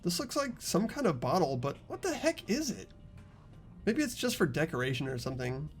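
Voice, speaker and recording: Animated host with a very lively pitch. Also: fairly quick, soft background music. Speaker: host of a group game playthrough. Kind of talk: casual banter and reading game text aloud.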